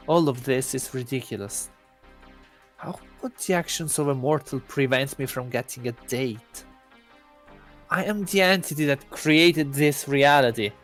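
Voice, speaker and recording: A man's voice: medium pitch, a bit bright, lightly acted. A man voicing an aloof, deluded god posing as a student in a visual novel, musing in a self-important, awkwardly earnest way. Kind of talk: first-person visual novel narration.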